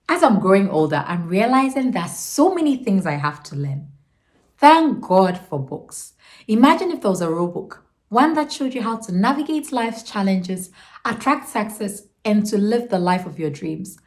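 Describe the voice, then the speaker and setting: A woman's voice: medium pitch, very animated, normal speed, a little mellow. A woman hosting her channel, stuffy and nasal from a cold, talking earnestly and encouragingly about a book and stretching words out for emphasis. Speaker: channel host. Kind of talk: motivational book breakdown. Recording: echoey room with faint music.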